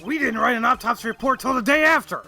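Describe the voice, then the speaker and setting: A man playing a gravelly bumbling detective. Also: very high voice, fast talker, pushed and bright, exaggerated voice. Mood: blunt. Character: bumbling, apologetic male police detective, blunt and nervous. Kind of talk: video game fandub acting. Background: music.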